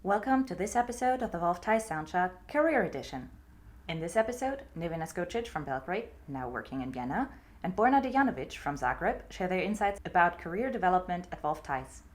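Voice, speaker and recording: A woman reading a scripted podcast introduction in a clear, steady way. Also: lowish voice, a little fast. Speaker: podcast narrator. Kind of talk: scripted narration. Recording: faint music behind.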